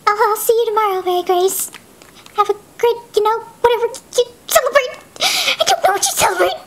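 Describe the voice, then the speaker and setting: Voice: very high-pitched, animated, brisk, fairly bright tone, voice pushed up. A performer voicing a nervous young girl who rambles anxiously.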